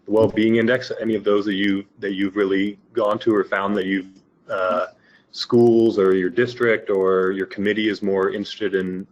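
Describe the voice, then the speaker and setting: A man's voice: on the low side, muffled recording. A man moderating a webinar, asking questions in a hesitant way with many restarts and fillers. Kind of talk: casual interview questions.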